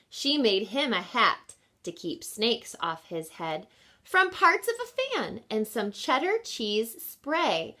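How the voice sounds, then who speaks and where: A woman reading a children's picture book aloud as a teacher, expressively and with deliberate emphasis, speaking in an encouraging way as if to young listeners. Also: on the high side, a bit bright. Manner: encouraging, addresses children with questions and explanations.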